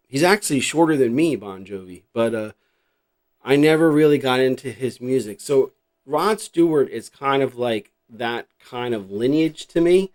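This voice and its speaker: A middle-aged American man with a mid-pitched voice hosts in a casual, unscripted way. He rambles reflectively, thinking out loud as he goes. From New Jersey, United States. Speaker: host showing a cassette collection. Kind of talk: casual unscripted vlog chat.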